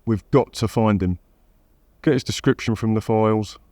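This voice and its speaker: An actor playing an authoritative, clipped police chief in an audio comic. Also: male voice, fairly low pitch, normal speed, darkish tone, natural voice. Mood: commanding. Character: brisk, commanding male police chief.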